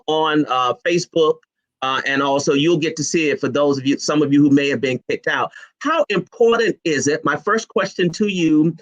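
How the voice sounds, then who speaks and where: A jovial man moderates a live panel over a video call, teasing his guests as he fires off questions. His voice is bright and forceful and sits a little high for a man. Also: muffled recording. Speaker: host and moderator.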